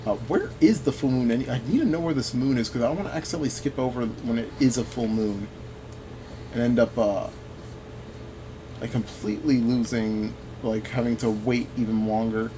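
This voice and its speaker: A man's voice: medium pitch, a little fast, background noise. A man giving casual gameplay commentary, rambling and thinking aloud as he plays. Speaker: gaming video host.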